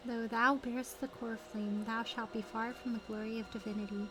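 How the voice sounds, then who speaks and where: A woman giving casual livestream commentary on a video game in an animated manner. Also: a little high, brisk. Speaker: livestream host. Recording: game music under the voice. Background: video game music.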